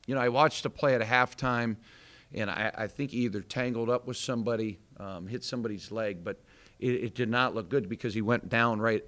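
A man's voice: lowish voice, fairly bright tone. A man in his thirties with an American accent, a head basketball coach speaking candidly at a postgame press conference, with many fillers and restarts. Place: indoor press room in front of a sponsor backdrop. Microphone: table microphone in front.

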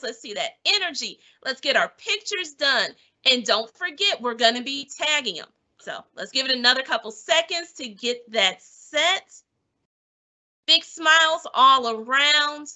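High, very bright-voiced woman hosting. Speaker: live event host. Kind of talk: upbeat event hosting to an online audience.

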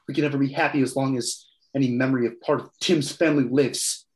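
A man's voice: medium pitch, steady tone, quick pace, close to an everyday voice, low-quality recording. A young man reading the part of a taunting, vengeful Venezuelan gunman in a play over a video call. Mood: menacing. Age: young adult. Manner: taunting, slips into Spanish. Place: room at home. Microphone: computer mic on video call.

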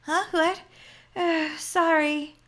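Woman acting a queasy, moaning wife, high-voiced. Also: fairly even intonation, background noise, heavily acted. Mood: queasy, miserable. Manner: moaning, halting. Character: sick, groaning wife, weak and queasy.

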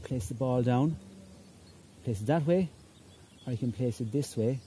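A middle-aged man from Ireland coaches hurling on a large country lawn. His voice is mellow and mid-pitched, and he checks in with a casual "okay?" between halting phrases. A phone at ground level catches him along with a faint hum of outdoor ambience. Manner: relaxed, repeats "okay?" often, hesitant fillers. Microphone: phone camera at ground level.

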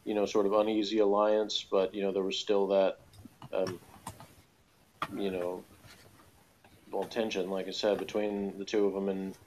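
Low-pitched man, rambling in casual discussion. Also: flat delivery, moderate pace, long pauses, darkish tone. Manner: rambling, hesitant, frequent "you know". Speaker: guest reviewer. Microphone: video call. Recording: faint background noise on online call.

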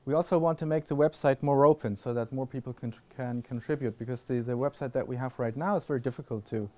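A man's voice: medium pitch, fast, a little mellow. Guy on a panel answering questions in a classroom, lots of ums, on a handheld mic. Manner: thoughtful, many restarts and fillers. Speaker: panel speaker. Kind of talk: conference Q&A answers. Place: classroom lecture hall with audience.

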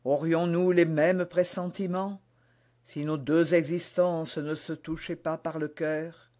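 A man's voice: on the high side, level tone, takes it slowly, a little mellow. A man reading the part of a passionate, melancholy young duke in love, ardent and pleading, in a historical romance audiobook. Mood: exultant, loving.

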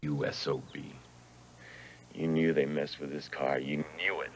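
An actor playing a gruff, weary, brooding stunt driver in a TV movie drama. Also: male voice, a bass voice, level tone, a little slow, heavily acted. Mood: angry, commanding. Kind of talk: 1990s TV movie drama acting.

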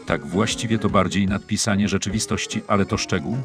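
Guy doing deadpan cartoon handyman schemer, kinda low voice, calm and fatalistic, music behind it. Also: fairly quick, close to an everyday voice. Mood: matter-of-fact. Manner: matter-of-fact, deadpan. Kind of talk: dubbed comic cartoon dialogue in Polish.

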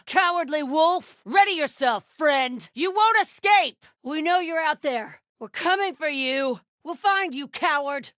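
A fierce female cult soldier from a video game on the hunt for her enemy. Her voice is on the high side and swings widely in pitch. She delivers short, menacing commands with space between them, recorded close and dry. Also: fairly bright tone, dry recording. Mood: angry shouting. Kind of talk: video game combat barks.

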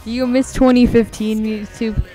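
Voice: mid-range voice, level tone, normal speed, warm tone. A teenage streamer chatting casually and informally, with plenty of swearing. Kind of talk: casual livestream chat while drawing. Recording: music playing behind the voice. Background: music.

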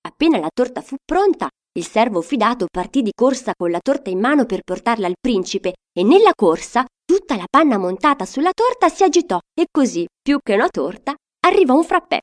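A woman's voice: a little high, sing-song, fairly quick, hardly any pauses. A woman telling a fairy tale to children, reading a scripted story expressively as narrator.

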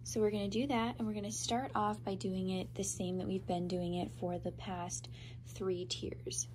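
A woman's voice: mid-range voice, little pitch movement, moderate pace, a little mellow, slight background noise. Stuffy-nosed young woman teaching crochet. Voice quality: nasal, congested. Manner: chatty, upbeat, talks over her hands-on work. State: stuffy nose from a cold. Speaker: crochet tutorial host. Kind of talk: casual tutorial talk.

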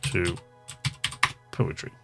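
A man's voice: very slow, over loud music. A man giving casual gameplay commentary, talking to himself and reading game text aloud in an easily amused, musing way. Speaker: gamer, video host.

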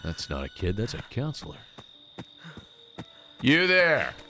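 A man voicing a cocky, gruff male hero in a game, talking in a slow mock-tough drawl. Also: mid-range voice, wildly swooping pitch, sharp tone, heavily acted.

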